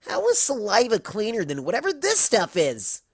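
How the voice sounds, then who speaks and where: A brash young cartoon pegasus mare, put on by a man. The voice is forced high and screechy with a creaky edge, irritable and lively in pitch, as in a storybook reading. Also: normal speed, dry recording, clean studio sound, full character voice. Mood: irritated.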